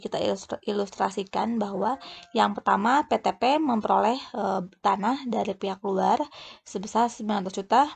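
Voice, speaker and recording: A woman giving an explanatory lecture as a teacher, speaking haltingly and often restarting words and phrases. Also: mid-range voice, creaky, darkish tone. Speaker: accounting lecturer. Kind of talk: explanatory lecture, unscripted walk-through of worked examples.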